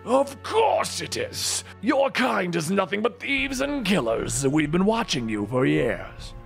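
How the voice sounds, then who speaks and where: Man doing a slow, menacing cosmic horror, gravelly, lots of swoops. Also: high-pitched, very animated, soft background music, full character voice. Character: ancient mocking male horror from beyond.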